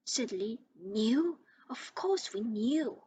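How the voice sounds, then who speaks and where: A woman's voice: fairly high pitch, expressive, takes it slowly, dark tone, slightly rough, heavily acted. A woman voicing a bitter middle-aged aunt who shrieks and rants spitefully.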